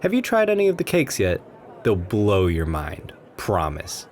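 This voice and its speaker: A man playing a shy, sweet, nervous writer chatting to a stranger in a café, in a gentle, rambling ASMR roleplay style. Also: lowish voice, huge pitch swings, measured pace. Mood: chatty, warm. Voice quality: gentle, soft-spoken. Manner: anxious, rambling, earnest. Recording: noticeable coffee shop ambience noise. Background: coffee shop ambience.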